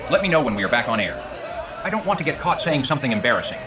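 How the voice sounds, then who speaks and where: An actor playing a bumbling, vain TV news reporter in a motion comic, forever correcting himself. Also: male voice, mid-range voice, very animated, rapid-fire, lightly acted. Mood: smug. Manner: gaffe-prone, self-correcting.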